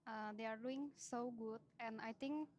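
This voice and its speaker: Soft-spoken young woman. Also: on the high side, steady tone, a little slow, a little hiss or hum. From Indonesia. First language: Indonesian. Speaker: esports player. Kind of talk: interview answers.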